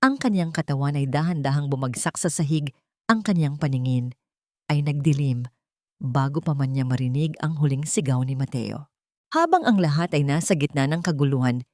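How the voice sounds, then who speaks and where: A woman narrates a dramatic story in a solemn, measured way. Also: deep voice, darkish tone, dry recording, clean studio sound.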